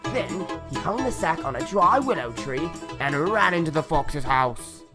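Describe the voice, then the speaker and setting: A woman's voice: sits low, lively intonation, unhurried. A woman storyteller narrating a children's folk tale. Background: instrumental music.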